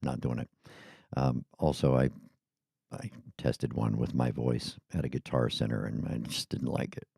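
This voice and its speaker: A man's voice: extremely low pitch, fairly even intonation, moderate pace, vocal fry, dark tone, slightly rough, no room sound. A middle-aged man hosting his podcast, chatting casually and drifting off onto tangents in a small home studio. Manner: rambling, chatty, goes off on tangents. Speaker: podcast host. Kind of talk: casual rambling monologue. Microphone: studio microphone.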